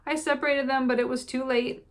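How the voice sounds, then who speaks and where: A woman's voice: high-pitched, level tone, pitched above the performer's own voice, natural voice. A woman doing an old farmer. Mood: tall tale. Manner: folksy, drawn-out storytelling. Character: old male farmer, sentimental horse trader.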